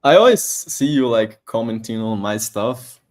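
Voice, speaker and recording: A man's voice: mid-range voice, animated, unhurried. A young man from Brazil, a native Portuguese speaker hosting a live stream, speaking English in a friendly, joking way with lots of laughter. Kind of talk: casual interview on a live stream.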